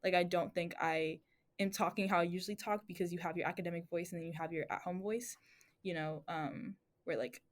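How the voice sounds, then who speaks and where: A woman's voice: fairly low pitch, fairly even intonation, brisk. A young woman talking casually on a student podcast, reflective and rambling, with many fillers and restarts. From Atlanta family.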